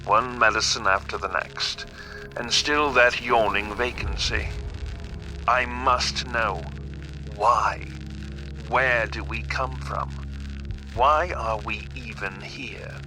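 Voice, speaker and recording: An actor playing an obsessive, brooding eccentric billionaire, delivering a grave, literary monologue in an audio drama. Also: male voice, lowish voice, slow pace, over music, low-quality recording. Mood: anguished, questioning.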